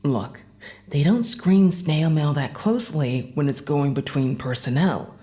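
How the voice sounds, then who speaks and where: An actress playing an easygoing, devoted co-worker in an audio drama, with a dry, matter-of-fact manner. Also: female voice, sits low, measured pace, dark tone. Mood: dry, reassuring.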